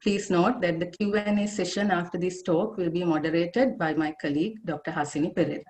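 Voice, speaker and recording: A woman moderator reads a formal introduction over a video call. Her voice is slightly low, and her delivery is measured and even. She sounds careful and official throughout. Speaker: symposium moderator introducing the speaker.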